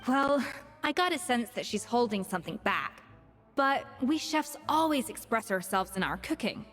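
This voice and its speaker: A woman voicing a composed head chef who speaks in a measured, dry, slightly disdainful way. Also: fairly high pitch, animated. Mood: thoughtful. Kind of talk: video game voice acting. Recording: reverberant game audio with soft music.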